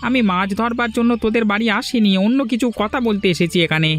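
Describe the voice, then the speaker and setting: A man's voice: high-pitched, animated, fast, a bit bright, faint music behind. A man voicing a cautious village fisherman who earnestly warns his friend in a cartoon. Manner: earnest, warning. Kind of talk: cartoon voice acting.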